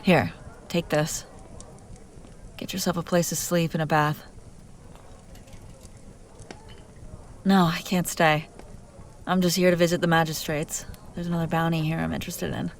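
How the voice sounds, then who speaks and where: Woman acting as female bounty hunter, lower-pitched, sardonic, teasing, gruffly caring, fantasy audio roleplay, faint cricket ambience. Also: flat delivery, moderate pace, slight background noise. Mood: brusque, guarded. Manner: sarcastic, teasing, often dropping to hushed urgency. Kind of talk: scripted audio roleplay addressed to the listener.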